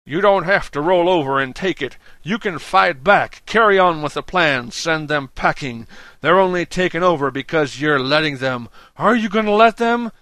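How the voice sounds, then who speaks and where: A man's voice: on the high side, expressive, moderate pace, sharp tone, dry recording, pitched above the performer's own voice. A man voicing a fierce, magnetic male organizer who exhorts and demands like a preacher in an audiobook. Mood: shouting fury. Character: rabble-rousing male orator, fierce, magnetic, drawling organizer. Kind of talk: audiobook character acting.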